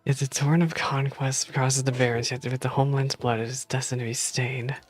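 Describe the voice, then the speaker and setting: A man's voice: medium pitch, steady tone, brisk, dry recording. A man streaming a game, reading story text aloud and reacting casually as he goes.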